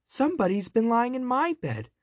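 A storyteller voicing a little baby boy bear from a children's storybook in a shrill, squeaky voice. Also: wildly swooping pitch, moderate pace, dry recording, studio quality. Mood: excited.

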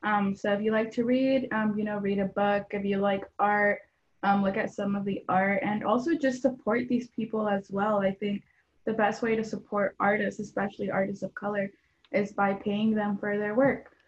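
A woman's voice: mid-range voice, level tone, moderate pace, dull audio. A young woman from a Mexican household in Atlanta answering interview questions earnestly, with frequent ums and you-knows. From Mexican household in Atlanta, Georgia. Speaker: guest.

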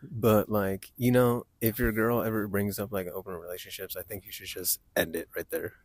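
Laid-back young guy, kinda husky, deadpan jokes, dry close sound. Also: fairly low pitch, normal speed, no room sound. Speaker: podcast co-host. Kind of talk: casual chat.

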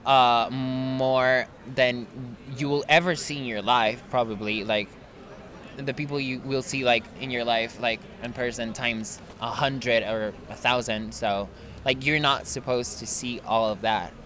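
A man's voice: medium pitch, fairly even intonation, measured pace, a bit bright. Frank young man, guest on a casual podcast, laughs a lot, outdoors. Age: 20s. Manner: frank, laughs often, swears. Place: outdoors in a park.

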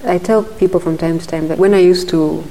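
A middle-aged Ugandan woman, a guest in an interview, talking thoughtfully with frequent restarts and soft murmurs of agreement. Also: on the low side, fairly even intonation, fairly quick, long reverb tail, poor audio. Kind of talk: casual interview talk.

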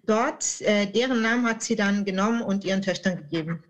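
A woman's voice: mid-range voice, a little fast. A woman in her late thirties from southern Germany, chatting playfully and colloquially as an interview guest. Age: late 30s. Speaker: podcast guest, author. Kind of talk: casual interview conversation.

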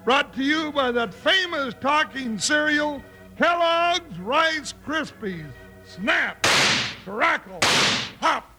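An actor playing a grumbling, folksy deputy sidekick in an old radio western, with an American accent and rustic slang. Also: male voice, extremely high pitch, animated, takes it slowly, music in the background, heavily acted. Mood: cheery, folksy. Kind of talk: 1950s western radio acting. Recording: faintly noisy old radio recording.

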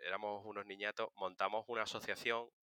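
Male interview guest, 30s, from Seville, lowish pitch, very bright timbre, casual explainer. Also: flat delivery, fast talker, clear, resonant tone, no room sound, clean studio sound. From Seville, Spain. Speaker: interview guest, political science communicator. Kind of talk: casual conversational storytelling.

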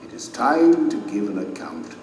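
An actor playing a majestic, stern king who judges his subjects in a solemn, measured, authoritative way in an audio drama. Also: medium pitch, very animated, darkish tone, slight background noise, lightly acted. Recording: cavernous reverberant hall, distant, music underneath.

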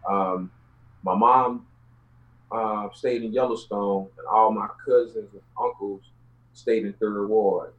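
Low-voiced Houston man, muffled audio. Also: steady tone, takes it slowly. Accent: Houston Southern US. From Houston, Texas, United States. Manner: colloquial, streetwise slang. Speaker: interview guest. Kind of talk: casual personal storytelling interview.

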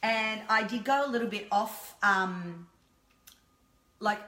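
A middle-aged woman talks with a bright, forceful edge, full of passion and the odd curse. Her rambling chat rings in an echoey room. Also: medium pitch, takes it slowly, a little hiss or hum. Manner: passionate, rambling, swears freely. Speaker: podcast guest. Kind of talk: candid conversational chat.

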